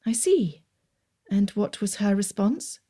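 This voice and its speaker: A woman voicing a witty young gentlewoman who speaks softly, close to a whisper. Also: mid-range voice, lively intonation, takes it slowly, breathy edge. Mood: soft teasing. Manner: soft, near-whispered.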